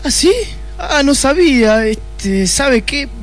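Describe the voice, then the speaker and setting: Greedy, melodramatic detective boss, played by a man, Argentine, higher-pitched, florid one moment and crude the next, comic radio play. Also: expressive, slow, full character voice. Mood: greedy excitement. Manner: pompous, florid speeches mixed with crude slang.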